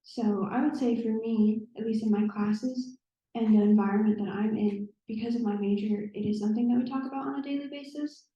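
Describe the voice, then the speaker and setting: A young woman, a college student on a panel, explaining things clearly. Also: fairly high pitch, steady tone, fairly quick, warm tone. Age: young adult. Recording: echoey room, dull sound.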